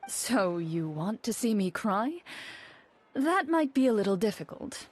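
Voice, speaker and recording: A woman voicing a composed female champion duelist in a game, calm, reserved and formal. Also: mid-range voice, a little slow. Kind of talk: game cutscene voice acting.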